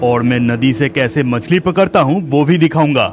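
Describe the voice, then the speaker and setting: A man's voice: medium pitch, moderate pace, faint music behind, lightly acted. A man voicing a plucky, boastful young village fisherman in a cartoon story, earnest and insistent.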